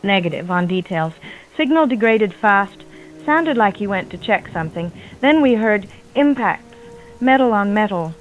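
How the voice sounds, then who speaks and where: Through a narrow, phone-like radio band comes an actress as a resolute woman survivor. She sounds earnest and compassionate, at a middling pitch, in a post-apocalyptic drama with soft music behind. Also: lively intonation, normal speed. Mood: tense reporting. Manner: earnest, firm. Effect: radio filter.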